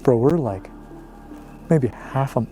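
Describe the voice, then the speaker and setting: A man's voice: mid-range voice, lively intonation, takes it slowly, mellow, soft background music, rough recording. A man vlogging alone in the woods at night, frightened, whispering and swearing. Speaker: camper filming himself. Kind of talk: night-time vlog.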